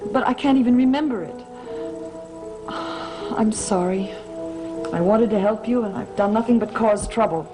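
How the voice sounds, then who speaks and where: An actress playing an earnest, wounded heroine in a gothic soap opera, speaking with pleading sincerity. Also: female voice, on the high side, measured pace, over music, lightly acted. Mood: apologetic, troubled.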